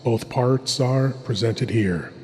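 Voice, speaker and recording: A man acts out a suave, sinister horror host in a theatrical scripted introduction. He speaks very slowly, lingering over the macabre with dramatic pauses. His voice hangs in long, hall-like reverb. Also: mid-range voice, a little mellow, a little hiss or hum, lightly acted. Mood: ominous, savoring.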